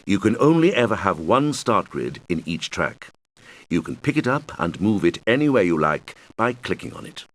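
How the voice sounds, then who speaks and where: Deep, animated kids' game guide. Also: male voice, moderate pace, exaggerated voice. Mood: patient, instructive. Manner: patient, clearly explanatory, addressing children. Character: helpful male game guide instructing young players. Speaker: game tutorial guide character. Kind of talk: scripted instructional narration for a children's video game.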